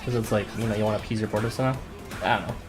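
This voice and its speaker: Kinda deep hoarse guy rambling casually over a game, music playing behind him. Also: steady tone, rapid-fire. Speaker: game streamer. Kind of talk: casual chat while gaming.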